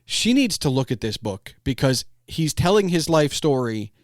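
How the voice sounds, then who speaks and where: A man chatting casually as a podcast host, telling anecdotes in an animated way and acting out imagined quotes. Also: on the high side, normal speed, no echo, studio quality.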